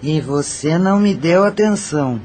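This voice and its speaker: A man's voice: on the high side, lively intonation, normal speed, darkish tone, slight background noise, lightly acted. A man playing a murdered brothel madam who unburdens herself reflectively in an audio drama. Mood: burdened, reflective. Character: murdered brothel madam with intuitions, burdened, confessional. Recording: music under the voice.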